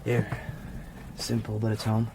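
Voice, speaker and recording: Frank, low-voiced anxious American youth. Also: male voice, completely flat delivery, measured pace, creaky, noisy background. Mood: polite, subdued. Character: Frank, polite anxious eighteen-year-old American runaway boy. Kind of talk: radio drama dialogue. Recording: faint background ambience.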